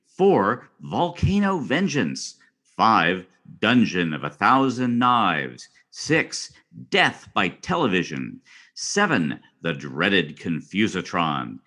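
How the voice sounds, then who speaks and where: A man's voice: sits low, big pitch swings, takes it slowly, pushed and bright, full character voice. An actor playing a florid, theatrical literary scholar on a scripted podcast, savouring every word as he reads aloud. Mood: lurid melodrama. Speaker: guest expert on a podcast.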